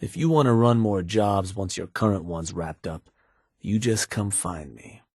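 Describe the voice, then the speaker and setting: An actor playing a shrewd, sardonic gang advisor in a video game, talking in a laconic, folksy, matter-of-fact way about ruthless business. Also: male voice, sits low, a little slow, darkish tone, breathy edge, no room sound, clean studio sound, heavily acted. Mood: dryly approving. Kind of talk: video game character dialogue.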